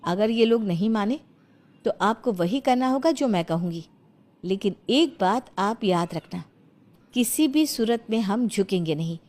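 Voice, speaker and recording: A woman's voice: medium pitch, expressive, measured pace, darkish tone, lightly acted. A woman voicing an outspoken, indignant young mother and daughter-in-law in a village cartoon story. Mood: firm, defiant. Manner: indignant, firm, challenging.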